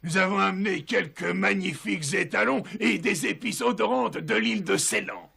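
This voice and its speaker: Casting: travelled fairy tale merchant, emphatic, marvelling, gravelly creak, extremely bright forceful tone. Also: male voice, high-pitched, animated, moderate pace, full character voice. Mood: eager. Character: eager, well-travelled seafaring merchant.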